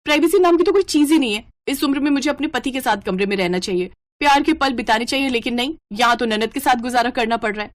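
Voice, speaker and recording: A woman voicing a frustrated, sharp-tongued young new bride in a story, complaining and scolding. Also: sits high, fast talker, hardly any pauses, close to an everyday voice. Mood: bitter, complaining.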